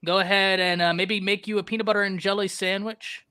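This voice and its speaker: A man from Texas hosting a casual live-stream sports talk show, animated and talking to chat viewers by name. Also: high voice, brisk, clean tone, no room sound, clean studio sound.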